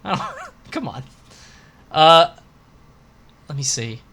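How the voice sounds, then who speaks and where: Man, podcast co-host, bright punchy tone, slightly high voice, casual banter, sarcastic, blunt. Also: wildly swooping pitch, slow pace. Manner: blunt, joking, sarcastic, swears freely. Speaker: podcast co-host reading listener questions.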